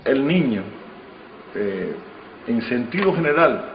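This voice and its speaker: A man talking reflectively in an interview, in a measured, didactic way, like a director who teaches acting. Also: mid-range voice, sing-song. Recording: reverberant room, muffled, faint noise.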